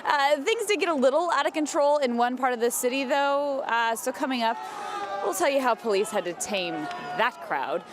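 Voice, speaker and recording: Woman, American accent, live TV news reporting, casual banter, higher pitch, outdoor broadcast with light traffic noise. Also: animated, normal speed, crowd noise. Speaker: TV news reporter and morning anchor on location. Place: outdoors outside a city ballpark.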